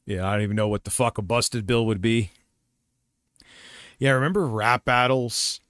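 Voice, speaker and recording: A man's voice: mid-range voice, moderate pace, fairly bright tone, clean tone, no room sound, clean studio sound. A middle-aged man streaming casual commentary, rambling and swearing as he reminisces. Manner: profane, meandering, reminiscing. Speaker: streamer reacting to another stream.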